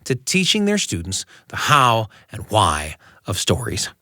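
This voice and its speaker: Animated male radio storytelling host. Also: lowish voice, unhurried, no room sound, clean studio sound. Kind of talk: conversational scripted narration.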